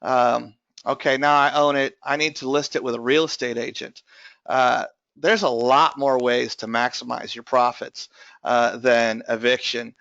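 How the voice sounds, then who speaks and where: Man, webinar presenter, strained bright voice, explanatory, filler-heavy, dry lo-fi sound. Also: medium pitch, flat delivery, unhurried, vocal fry, no room sound. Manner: explanatory, many filler uh's. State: voice strained from bronchitis. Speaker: tax lien investment presenter.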